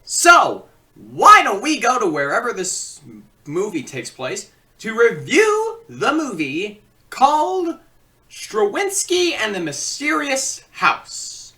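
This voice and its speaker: A man hosting a comedic video review, switching between theatrical announcing and halting casual asides. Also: sits high, wildly swooping pitch, bright, forceful tone, recorded from a distance. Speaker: online film reviewer and host.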